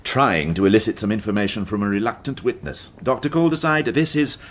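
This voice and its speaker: A man's voice: lowish voice, animated, fairly quick, slight background noise, natural voice. An actor playing a wry, impatient male police inspector in a radio drama, dry and sardonic with his juniors. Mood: businesslike.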